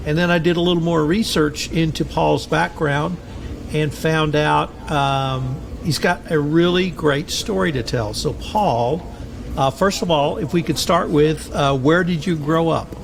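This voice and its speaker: Older texas guy hosting a podcast, gravelly and a bit high, chatty, lots of uhs, noisy audio. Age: 60s. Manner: folksy, chatty, hesitant with fillers. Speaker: podcast host. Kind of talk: casual interview. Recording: noisy recording.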